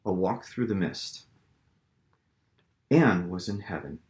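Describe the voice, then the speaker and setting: Low-voiced man reading fiction, roomy sound. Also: expressive, slow pace, darkish tone. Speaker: author reading own novel. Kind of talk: dramatic audiobook-style narration. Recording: roomy, echoing room sound.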